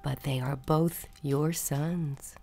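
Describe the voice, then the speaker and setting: A woman playing a gentle, reassuring Missus Claus in a storybook tale, speaking calmly. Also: low-pitched, slow, creaky, faint music behind, full character voice.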